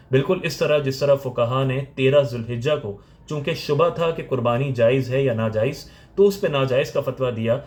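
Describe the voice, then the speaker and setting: Male religious teacher, Pakistani accent, mid-range pitch, didactic step-by-step delivery. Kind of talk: explanatory religious lecture.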